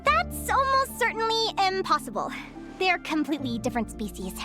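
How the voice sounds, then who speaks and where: Casting: sweet young creature scholar in an animated game, very high girlish voice, expressive, earnest, music behind. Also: normal speed, full character voice. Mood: matter-of-fact. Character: sweet, bright-eyed young female animal researcher, earnest. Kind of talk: animated video game voice acting. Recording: music under the voice.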